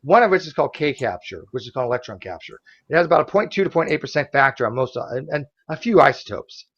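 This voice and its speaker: A hoarse man hosting an online debate, pushing back with quick, forceful rebuttals full of restarts. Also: medium pitch, very animated, muffled recording. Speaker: debate host.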